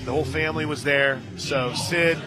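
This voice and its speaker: A man calling a softball game on radio as play-by-play announcer, mixing pitch calls with folksy, conversational asides. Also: steady tone, normal speed, music in the background. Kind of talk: live softball commentary mixed with casual chat. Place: stadium radio booth.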